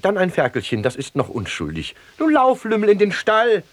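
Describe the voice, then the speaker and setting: Ein Schauspieler spielt in einem komischen Hörspiel einen stolzen, polternden Familienvater und Helden aus dem alten Athen, theatralisch und pompös. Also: male voice, high-pitched, wildly swooping pitch, normal speed, exaggerated voice. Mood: grudging, brisk. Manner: theatrical, pompous. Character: proud, blustering father, vintner hero of ancient Athens. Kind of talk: comic stage-style radio drama dialogue. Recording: hissy 1969 radio play recording.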